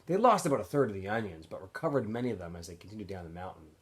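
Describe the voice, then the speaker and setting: A man reading a novel aloud as a teacher would for students, in an expressive, animated storytelling way with phrases that often trail off softer. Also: on the low side, very fast. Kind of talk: expressive audiobook-style read-aloud narration.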